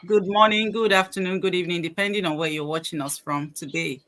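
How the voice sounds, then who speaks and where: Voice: medium pitch, steady tone, quick pace, fairly bright tone. A host opening a live podcast and introducing a guest coach, with frequent um fillers. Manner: welcoming, many fillers.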